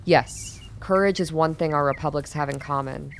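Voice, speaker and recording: A woman's voice: sits low, moderate pace, slight background noise. A woman playing a formal, self-assured older doctor in a radio drama, composed and guarded. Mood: cordial.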